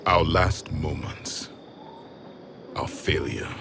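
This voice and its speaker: Deep, grave alien warrior. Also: male voice, very slow, gravelly, noisy background, heavily acted. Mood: grieving. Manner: grave, disdainful, clipped pronouncements. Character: proud, bitter alien warrior, last survivor of his race.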